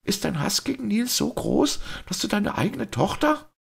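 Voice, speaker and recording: A man's voice: high-pitched, very animated, moderate pace, pitched above the performer's own voice, heavily acted. A man voicing a trembling, defiant young lady. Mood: scornful. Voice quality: clear, trembling voice. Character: young noblewoman, brave, defiant daughter. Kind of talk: audiobook reading.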